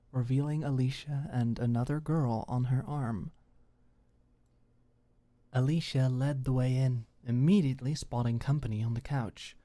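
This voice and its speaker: A woman's voice: extremely low pitch, mellow. A woman reading scripted story narration in an ASMR audiobook style.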